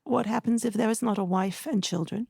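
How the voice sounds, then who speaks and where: Hoarse woman hosting a podcast. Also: a little high, fairly quick, mellow, no echo. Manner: conversational, asks guided questions. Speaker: podcast host. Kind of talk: interview podcast.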